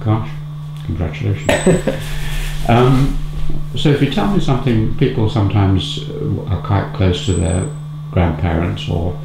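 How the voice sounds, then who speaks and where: An older man interviewing a guest, asking soft, unhurried questions. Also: lowish voice, gravelly, roomy sound, heavy background noise.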